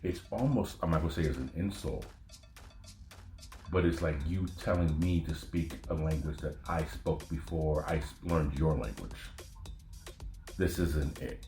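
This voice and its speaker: A man's voice: very deep voice, measured pace, mellow, roomy sound, faint music behind. A man from Northern California hosting a podcast, talking casually in a blunt, profane, conversational rant. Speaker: podcast host. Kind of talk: casual monologue.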